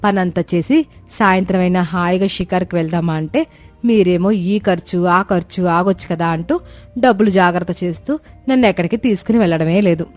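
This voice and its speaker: A woman's voice: fairly low pitch, a little fast, darkish tone, soft background music, natural voice. Woman playing a nagging, demanding young wife. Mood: complaining. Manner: complaining, insistent. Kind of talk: dramatised moral story for animation.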